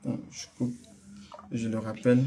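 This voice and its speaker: A man's voice: mid-range voice, flat delivery, moderate pace, vocal fry, mellow, a little hiss or hum. A man with a West African French accent hosting a casual religious call, speaking hesitantly and repeating his phrases. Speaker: host of a religious phone-in. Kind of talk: casual phone conversation.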